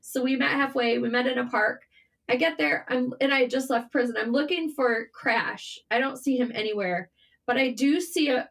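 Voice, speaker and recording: A woman's voice: fairly high pitch, gravelly, a bit bright. A woman talking casually and candidly as an interview guest, telling stories in an informal, mildly sweary way. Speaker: interview guest, writer and journalist. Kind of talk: casual interview conversation, storytelling.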